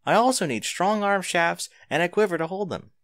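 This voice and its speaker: A man's voice: high voice, normal speed, fairly bright tone, no room sound, clean studio sound, pitched above the performer's own voice. A man voicing a terse, self-critical teenage girl sniper in a story reading, with a clipped, matter-of-fact delivery. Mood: brisk, sly. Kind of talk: scripted fan fiction reading.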